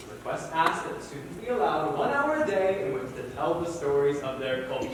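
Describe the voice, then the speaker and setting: A man's voice: fairly high pitch, animated, lightly acted. A man acting an earnest teacher in a stage play, speaking formally and firmly as he pleads for his students. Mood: earnest, insistent. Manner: formal, deliberate projected delivery. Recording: echoey hall from far off, faint noise.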